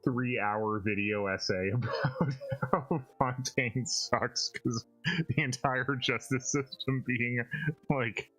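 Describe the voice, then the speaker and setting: Slow-talking man riffing on a game. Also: mid-range voice, steady tone, a bit bright, low-quality recording. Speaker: podcast co-host. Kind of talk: casual joking commentary over a video game. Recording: game music playing underneath.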